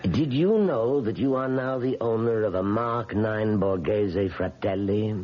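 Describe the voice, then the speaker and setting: An actor playing a smooth, commanding elderly businessman in an old radio drama, measured, coaxing and condescending. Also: fairly low pitch, lightly acted. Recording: dull, hissy vintage radio recording.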